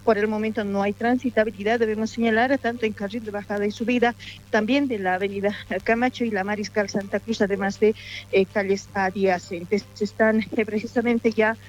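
A woman's voice: mid-range voice, steady tone, brisk, poor audio. A woman with a Bolivian accent giving an improvised live news report as a field reporter, wordy and a little hesitant.

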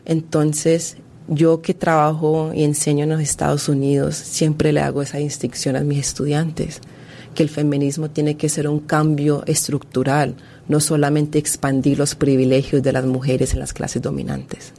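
Woman guest, 30s, low mellow voice, earnest and measured, faint background hiss. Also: completely flat delivery. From Colombia. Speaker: guest author and historian. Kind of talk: radio interview answers.

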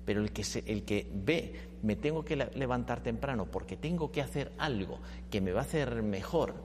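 A man's voice: mid-range voice, normal speed. A man hosting a discussion panel, talking conversationally and putting questions to his guests. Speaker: host. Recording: reverberant room with steady hum.

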